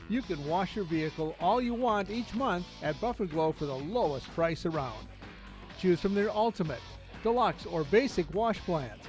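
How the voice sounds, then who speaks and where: An American man reading scripted radio advertisements as a commercial announcer. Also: high voice.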